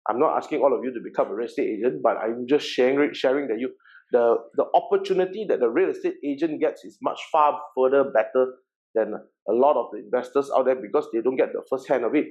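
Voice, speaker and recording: A man's voice: mid-range voice, quick pace, a little mellow. Malaysian man presenting, dull lo-fi sound. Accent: Malaysian English. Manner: chatty, repeats words, frequent "okay" checks. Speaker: workshop presenter and real estate agency founder. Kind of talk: informal seminar presentation.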